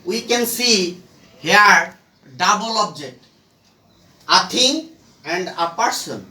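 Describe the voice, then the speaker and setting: A teacher giving a step-by-step grammar lesson in short, halting phrases, asking and answering their own questions, recorded from a distance in an echoey room. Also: mid-range voice, lively intonation, pushed and bright. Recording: echoey room, far from the mic.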